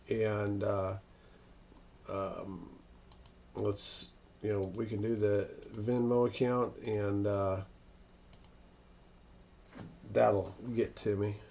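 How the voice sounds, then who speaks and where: Slow-talking American man, gravelly voice. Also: on the low side, a little mellow. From northern United States. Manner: hesitant, halting, thinking aloud. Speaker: weather livestream host. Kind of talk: casual unscripted live commentary, answering viewer questions.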